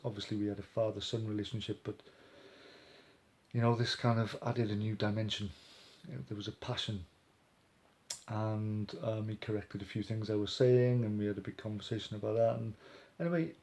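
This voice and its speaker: Guy in his 40s chatting to camera, low gravelly voice, lots of ums. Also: steady tone, normal speed, a little mellow. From Wales. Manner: rambling, many ums and restarts. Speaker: language learner sharing his story. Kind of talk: casual talk to camera.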